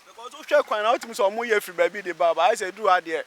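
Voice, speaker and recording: A man's voice: high-pitched, rapid-fire, fairly bright tone. A man with a Ghanaian accent reporting from the roadside and interviewing people as a news reporter. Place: outdoors at a busy roadside underpass.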